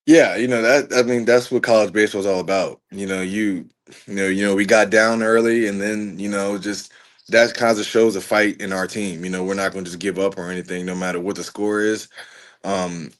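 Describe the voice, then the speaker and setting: A young man in his twenties, a college pitcher, talking casually as a podcast guest and filling his answers with "you know". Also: fairly quick. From Richmond, Virginia. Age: 20s. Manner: relaxed, rambling, many "you know" fillers. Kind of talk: casual interview chat.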